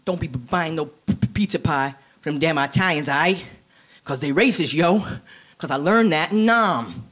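Woman doing a low, stuttering street uncle. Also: lively intonation, unhurried, fairly bright tone. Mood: agitated warning. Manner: street slang, conspiratorial. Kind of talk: stand-up character bit.

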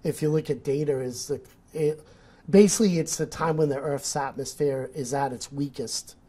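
A man's voice: on the high side, moderate pace, mellow, slightly rough. A man in his forties with a New England accent, a nature photographer talking as a guest on a studio interview, explaining with lots of restarts. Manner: gestures a lot, explains eagerly with restarts. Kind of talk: casual interview talk. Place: studio set. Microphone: lapel.

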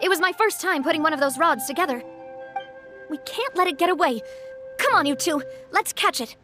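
A woman voicing a cheerful, sincere young woman leader in a video game, speaking in a gentle, earnest, measured way. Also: extremely high pitch, lively intonation. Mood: excited. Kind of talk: animated video game voice acting. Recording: soft music behind the voice. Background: soft game music.

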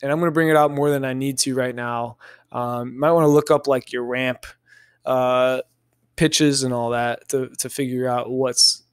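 Male software instructor, mid-pitched, clean and dry sound, casual step-by-step screen narration. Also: fairly even intonation, moderate pace, clear, resonant tone, no echo. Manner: informal, thinking aloud step by step.

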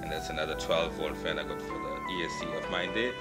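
Man, deep voice, casual hobby host, informal unscripted rambling review, very loud electronic music behind. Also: fairly even intonation, fast talker. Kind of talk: casual hands-on product review.

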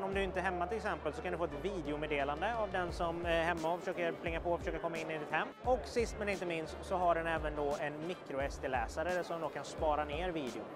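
A young man giving an informal, explanatory product demonstration to camera, as a video presenter. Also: high-pitched. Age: young adult. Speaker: video presenter for an electronics retailer. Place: indoor trade fair booth.

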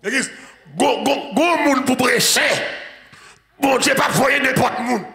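A man's voice: extremely high pitch, slow pace, vocal fry, sharp tone. A man in his forties with a Haitian accent, preaching a sermon in a church with repetitive, chanting emphasis. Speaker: pastor. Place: large church sanctuary with congregation. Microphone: handheld mic. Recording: echoey church hall, organ music.

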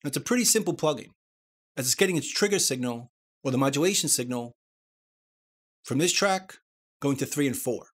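A man presenting a software tutorial, explaining things step by step. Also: medium pitch, animated, fairly quick, long pauses.

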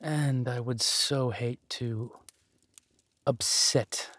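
A man playing a ruthless, haughty duke in a villain audio drama, drawling deliberately and with menace. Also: medium pitch, steady tone, a bit bright, dry recording. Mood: smug, scornful. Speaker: voice actor.

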